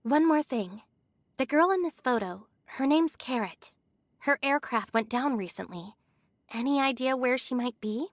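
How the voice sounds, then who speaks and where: A woman voicing a gentle, reassuring young woman with a polite, earnest manner in an English anime game. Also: high voice, expressive, measured pace, no echo. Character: gentle, reassuring young woman returned home after suffering.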